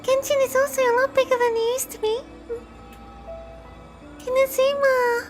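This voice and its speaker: A woman's voice: very high-pitched, flat delivery, normal speed. A woman streaming a game, chatting casually in a gushing, sentimental way. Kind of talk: casual livestream chat while gaming. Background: faint music.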